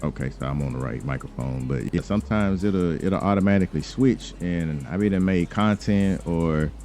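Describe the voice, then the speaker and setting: A man's voice: low-pitched, moderate pace, warm tone, soft background music. An American man giving casual, chatty gameplay commentary in a slangy, laid-back, rambling way. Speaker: gaming YouTuber hosting a roleplay video.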